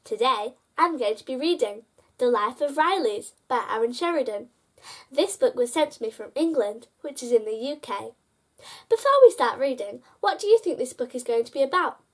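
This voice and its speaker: Female storyteller with a high-pitched voice for children's bedtime picture books, engaging young listeners directly. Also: lively intonation, normal speed. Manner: addresses child listeners with prompting questions. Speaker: host reading children's picture books aloud.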